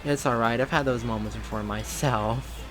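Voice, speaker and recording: A man voicing a lively, affectionate young woman who works as a reporter, raising his voice higher for her. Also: moderate pace, over music, lightly acted. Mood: chatty. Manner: raised, excited pitch.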